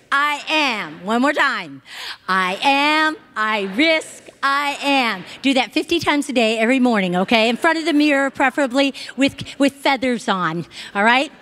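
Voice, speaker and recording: A middle-aged American woman giving an informal, conversational sermon as a reverend, teaching in an animated way and often checking in with her listeners. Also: high-pitched, slight background noise. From Hawaii, United States. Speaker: reverend giving a Sunday talk. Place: large indoor spiritual center, speaking to a congregation. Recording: reverberant hall sound.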